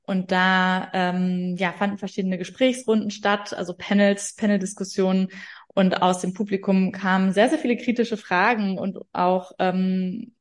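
A woman explains how a fair's theme came about, telling the story in a steady, measured way. Her voice sits at an ordinary middle pitch for a woman. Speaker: event moderator. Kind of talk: podcast interview.

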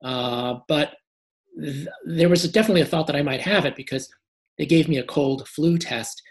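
Male interview guest; hoarse and a little short of breath, measured answers with many fillers. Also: a little high.

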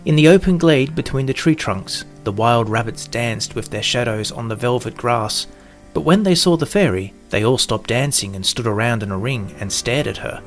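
Gentle male storybook narrator, middle-pitched voice over soft music. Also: moderate pace.

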